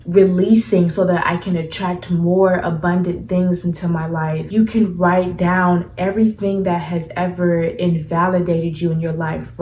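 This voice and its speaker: A young woman in her twenties talks through spiritual advice in an earnest, chatty way, with plenty of ums and likes. Her voice is low and mellow. It sounds roomy and a little distant from the mic, with faint background noise. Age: 20s. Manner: earnest, conversational, uses many fillers. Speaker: spiritual vlogger. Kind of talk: casual advice vlog.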